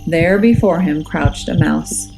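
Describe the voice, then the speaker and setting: A woman reading a children's story aloud as a teacher, in a measured, expressive and suspenseful way. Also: deep voice, gravelly, low-quality recording. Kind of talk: dramatic children's storybook narration. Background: music and nature sounds.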